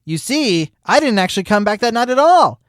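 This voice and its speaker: A woman's voice: a little high, very animated, brisk, no echo, studio quality. A woman voicing a smug, hyperactive male sloth witness with a cocky drawl.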